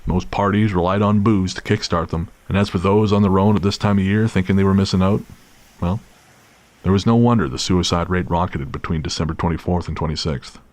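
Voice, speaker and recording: A man's voice: sits low, steady tone, fairly quick, a little mellow. A man narrating a scripted audio drama as the storyteller.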